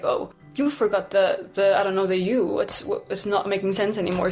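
A young woman from Switzerland with a Swiss German accent, a singer-songwriter chatting casually and often saying "like". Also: medium pitch. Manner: chatty, with frequent "like" fillers. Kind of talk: casual conversation.

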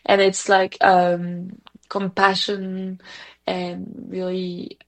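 A woman's voice: on the low side, monotone, drawn-out words. A woman from Belgium, a native French speaker with a Belgian French accent, chatting through interview answers with hesitant phrasing and self-corrections. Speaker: community organization representative, interviewee.

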